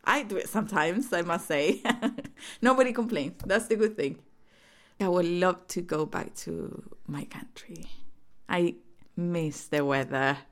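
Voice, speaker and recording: A woman in her forties from Chile, speaking English with a Chilean accent as a Spanish native speaker, recalling her life in a hesitant, halting way. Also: on the low side. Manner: hesitant, many fillers and restarts. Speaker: interviewee. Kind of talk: personal reminiscence.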